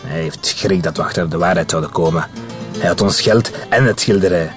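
A man acts out a calculating Spanish conspirator in a radio drama. His voice is low, with a Spanish accent and bursts of Spanish phrases, and music plays clearly behind him. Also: fairly quick, close to an everyday voice. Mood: cold, plotting. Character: suspicious, calculating Spanish militant conspirator, leader type.

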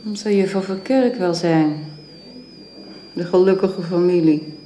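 An actress playing a bitter, jealous, ailing wife in a Dutch radio drama, speaking in a weary, curt, sarcastic way. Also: female voice, on the low side, unhurried, dark tone, lightly acted. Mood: suspicious, sarcastic. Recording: roomy, hissy old radio recording.

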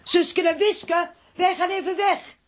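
An actress playing a fussy, bossy, quick-tempered aunt with a husky voice in a children's audio drama. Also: female voice, very high voice, fairly even intonation, slight background noise. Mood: brisk.

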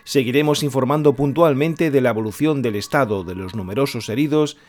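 An earnest exile radio newsreader, voiced by a man, with a Spanish accent. He reads the news in a steady broadcast manner for a radio play. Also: mid-range voice, fairly quick, natural voice. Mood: grave. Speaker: clandestine radio announcer. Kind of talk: radio drama acting, newsreader delivery.

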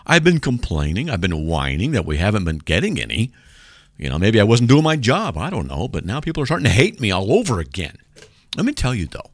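A man with a deep voice hosting a call-in radio show, joking and sarcastic as he reads out mail. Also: wildly swooping pitch, fairly quick, no room sound.

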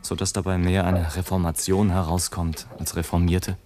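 An actor playing a sardonic airship traveller who looks down on mankind, mocking and oratorical in a literary radio play monologue. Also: male voice, low voice, level tone, normal speed, mellow, natural voice. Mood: wry, confiding. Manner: mocking, oratorical, rolling long sentences. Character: sardonic male airship traveller, grimly mocking his fellow men.

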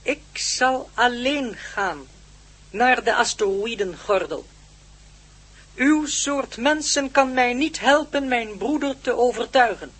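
An actor playing a solemn, gentle alien in a radio play, speaking in formal, measured declarations. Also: medium pitch, animated, breathy edge, exaggerated voice. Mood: solemn, resolute. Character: Jack, solemn gentle alien being of plant-like race.

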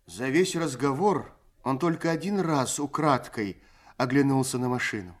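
A man narrates a 1970s Soviet radio play with a mid-pitched voice. His delivery is scripted and literary, measured yet expressive, like a storyteller setting out each scene.

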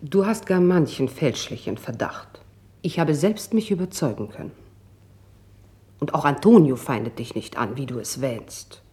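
A woman's voice: deep voice, expressive, normal speed, dark tone. A woman playing a poised, shrewd noblewoman who soothes and persuades in formal verse, in a classic radio drama. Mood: soothing, persuasive.

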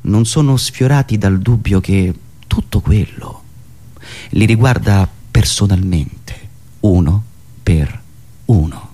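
A man delivering a theatrical, ironic political monologue as a radio satirist, emphatic and fond of dramatic pauses. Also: lowish voice, mellow.

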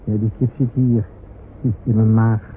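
An actor playing a casual, wry soldier on watch in a radio drama. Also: male voice, on the low side, little pitch movement, moderate pace, close to an everyday voice. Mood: wry, relaxed. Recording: tinny, noisy old radio recording.

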